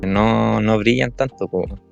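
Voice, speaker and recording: A young Chilean man talks music in a loose, hesitant way, heavy on slang. His voice is low and gravelly with plenty of fry, and faint music sits under it. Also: measured pace, muffled recording. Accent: Chilean Spanish. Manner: laid-back, hesitant, slangy. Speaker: podcast co-host. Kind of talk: casual music chat.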